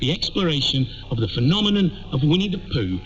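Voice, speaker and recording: A man's voice: on the high side, big pitch swings, fast, a little hiss or hum. A British man hosting a radio magazine show, with a wry manner and lightly scripted introductions. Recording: muffled shortwave radio capture.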